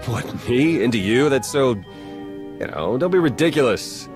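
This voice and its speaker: An actor playing a wry, flippant young secret agent with a casual way of talking. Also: male voice, mid-range voice, lively intonation, a little slow, music in the background, lightly acted. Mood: flustered.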